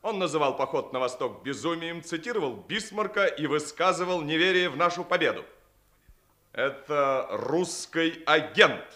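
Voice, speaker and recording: An actor in a 1950s radio play voicing a fanatical, officious SS officer, declamatory and insistent. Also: male voice, a little high, lively intonation, normal speed, bright, forceful tone. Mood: accusing. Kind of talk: 1950s Soviet radio theatre acting.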